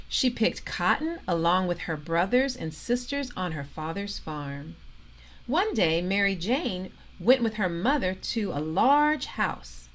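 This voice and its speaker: A woman reading a children's storybook aloud in an expressive, deliberate way, pausing for emphasis. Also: mid-range voice. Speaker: storybook reader, organisation president.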